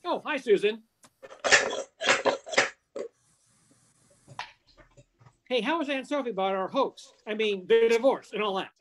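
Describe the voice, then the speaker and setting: A man's voice: extremely high pitch, fairly quick, a little hiss or hum. An older man playing a slangy, exclamatory wisecracking fellow in an amateur old-time radio play. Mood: amused, easygoing. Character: exasperated wisecracking working man caught in hoax. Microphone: computer on video call.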